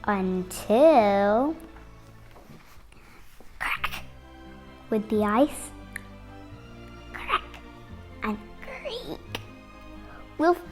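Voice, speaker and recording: Very slow, high-pitched little girl reading. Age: 8-year-old child. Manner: expressive, dramatic phrasing with deliberate pauses. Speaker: young storybook reader. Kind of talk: children's picture-book read-aloud.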